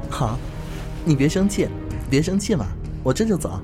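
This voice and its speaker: A man's voice: fairly high pitch, big pitch swings, moderate pace, music in the background. A man voicing a cheeky, cheerful young talisman apprentice who talks in a playful, teasing way in an amateur audio drama.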